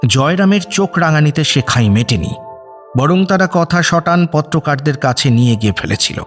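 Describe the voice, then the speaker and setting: A man's voice: fairly high pitch, expressive, normal speed, faint music behind. A man reading dramatic scripted narration as the storyteller of an audio drama.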